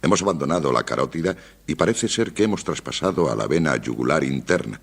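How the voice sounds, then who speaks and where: An actor playing a learned, authoritative doctor in a radio drama, speaking in a didactic, declamatory way. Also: male voice, extremely low pitch, animated, fast, gravelly, slightly rough, full character voice. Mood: urgent, resolute. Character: learned, authoritative male doctor aboard a submarine, philosophical. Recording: loud music behind the voice.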